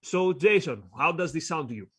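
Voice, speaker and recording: A man with a Greek accent and a fairly high voice co-hosts a casual discussion. He reads quotes aloud and talks in short, paused chunks. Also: expressive, fairly bright tone, slightly rough. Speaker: co-host.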